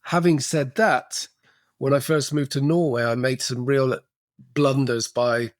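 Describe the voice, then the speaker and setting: A man's voice: medium pitch, fairly even intonation, a little slow, no echo, studio quality. A middle-aged man, talking reflectively as a podcast guest with frequent restarts. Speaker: podcast guest, cultural coach. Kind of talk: casual interview conversation.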